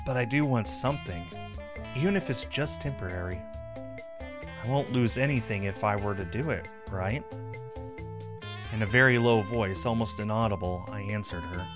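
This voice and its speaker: A man streaming a game and narrating its visual novel text aloud. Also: fairly low pitch.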